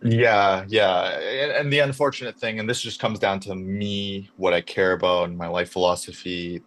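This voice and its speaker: Podcast guest: young man from canada, very low voice, thinks aloud with restarts. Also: steady tone, normal speed, a bit bright. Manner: reflective, with frequent restarts. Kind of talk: casual conversation.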